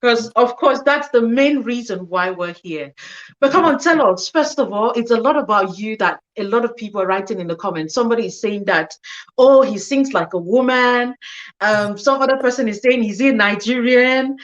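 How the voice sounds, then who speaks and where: Playful female show host, high-ish voice, dull lo-fi stream audio. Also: moderate pace. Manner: playful, teasing, laughs often. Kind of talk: lively hosted interview.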